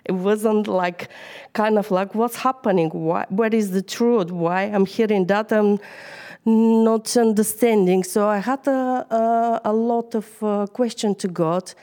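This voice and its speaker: A woman's voice: mid-range voice, a little mellow. A woman with a Bulgarian accent, whose first language is Bulgarian, giving an informal, hesitant talk to a church group. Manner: hesitant, many fillers. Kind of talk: informal sermon.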